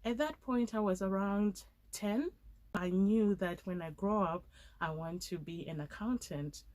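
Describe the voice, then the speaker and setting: A woman's voice: medium pitch, unhurried, darkish tone. A woman in her twenties, originally from Zimbabwe, telling her story as an interview guest and acting out the speech of people she quotes. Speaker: guest, accountant. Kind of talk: interview answers.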